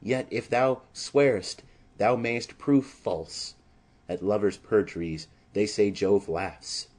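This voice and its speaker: Man playing a smitten, anxious young maiden, soft-edged husky voice. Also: on the low side. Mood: tender, earnest. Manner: earnest, measured Shakespearean verse delivery.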